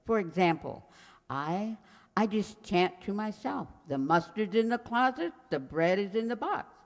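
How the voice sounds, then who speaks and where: A woman's voice: mid-range voice, lively intonation, unhurried. A woman playing a sweet, forgetful elderly landlady who dithers and rambles in a radio comedy. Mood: earnest, dithering. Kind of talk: radio comedy acting, old-time sitcom revival. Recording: very reverberant hall sound.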